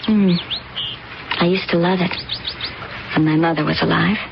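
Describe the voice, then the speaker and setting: An actress playing a brisk, scheming young woman in a radio drama, talking crisply and bossily. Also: fairly low pitch, steady tone. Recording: dull old radio recording with hiss.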